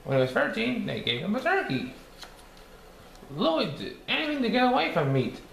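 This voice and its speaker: A man voicing a cheerful cartoon sea sponge who reads a storybook aloud in a halting sing-song. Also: very high-pitched, huge pitch swings, gravelly, a bit bright, exaggerated voice. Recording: echoey room with faint background noise.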